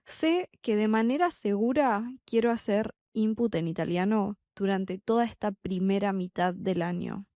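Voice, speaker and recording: Slow, deliberate Buenos Aires woman. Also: mid-range voice, dark tone, dry recording, clean studio sound. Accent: Buenos Aires Spanish. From Argentina. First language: Spanish. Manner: slow, deliberate, clearly spaced phrasing. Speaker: Spanish tutor. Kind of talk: slow podcast monologue for learners.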